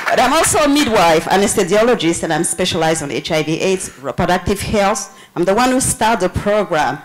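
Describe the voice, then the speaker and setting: Animated Senegalese woman speaking at a meeting. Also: fairly low pitch, rough recording. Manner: passionate, animated, laughs often. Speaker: public commenter. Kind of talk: public comment at a meeting. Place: public meeting room.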